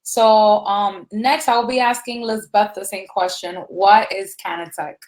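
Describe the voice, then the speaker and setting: Mid-pitched woman moderating casually. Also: steady tone, unhurried, a little mellow, low-quality recording. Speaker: panel moderator. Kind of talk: casual hosting.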